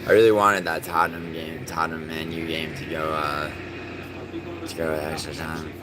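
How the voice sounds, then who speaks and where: A man from New York City streaming live, chatting casually and cursing in excitable outbursts between mumbled fillers. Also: extremely low pitch, moderate pace, background noise. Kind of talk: casual live stream chat, reacting to soccer and reading chat.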